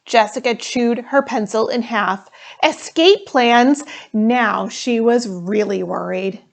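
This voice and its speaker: A woman's voice: on the high side, slow, vocal fry. A woman reading a children's picture book aloud as a storyteller, acting out the dialogue expressively. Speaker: storytime reader, firefighter.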